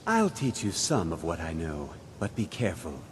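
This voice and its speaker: Guarded sorcerer guy from a fantasy game, kinda low formal voice, noisy rumble behind. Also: wildly swooping pitch, normal speed. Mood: guarded, secretive. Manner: formal, cautious. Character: guarded, secretive male conjurer. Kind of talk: fantasy video game voice acting. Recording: rumbling background noise.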